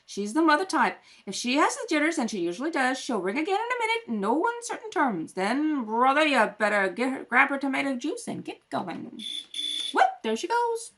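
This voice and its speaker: A woman playing a wry older housemaid in a radio comedy, deadpan, sardonic and full of slang. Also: high-pitched, brisk, full character voice. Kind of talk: 1930s radio comedy read-through. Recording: home recording at kitchen table.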